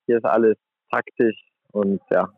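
A man's voice: on the low side, measured pace, no room sound. A man in his twenties, a handball player, talking casually in an interview with many fillers. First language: German. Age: 20s. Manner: relaxed, chatty, many fillers like "sag ich mal". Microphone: phone. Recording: tinny phone line.

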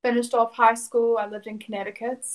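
A young woman from South Africa talks through her story in an even, level tone with little rise and fall. She answers questions plainly and steadily, now and then with a soft laugh. Her first language is Afrikaans. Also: on the high side, brisk. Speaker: interview guest. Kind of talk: conversational interview answers.

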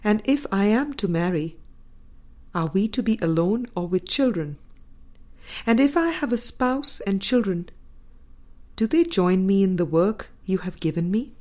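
A woman's voice: mid-range voice, lively intonation, measured pace, long pauses, darkish tone, lightly acted. A woman reading the part of an earnest, troubled student seeker questioning God in a parable.

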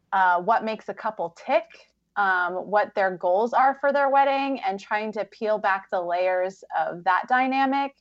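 Chatty woman in her thirties, lo-fi audio. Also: mid-range voice. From Pacific Northwest of the US. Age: 30s. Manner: warm, chatty, frequent fillers and laughs. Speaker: guest, wedding coach. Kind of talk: casual podcast chat.

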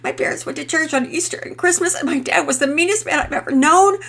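A woman's voice: high voice, quick pace, creaky, pitched above the performer's own voice. A woman voicing a distraught middle-aged mother, pleading and near tears. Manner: pleading, sobbing, near shouting.

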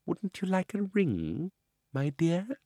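A sinister old gentleman magician from a children's storybook. He is oily and mock-courteous, swooping theatrically between drawn-out relish and sudden gushing, in a close, dry sound. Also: high voice, huge pitch swings, dry recording, pitched above the performer's own voice, full character voice. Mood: oily, coaxing. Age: older. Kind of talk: dramatic audiobook character reading.

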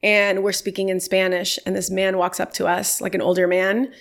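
A woman's voice: medium pitch, level tone, normal speed, vocal fry. A woman in her thirties, telling stories in a casual interview and livening them up when quoting people. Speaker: podcast guest.

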